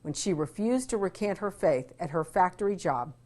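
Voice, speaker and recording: A woman host reading a scripted introduction in a measured, serious news style. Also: fairly low pitch, lively intonation, a little mellow. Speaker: program host. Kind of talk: scripted narration.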